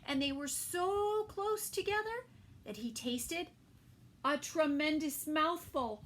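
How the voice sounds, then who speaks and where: A woman preschool teacher reading a picture book aloud to young children, adding gentle asides and soft whispered comments. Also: high voice, lively intonation, slow pace.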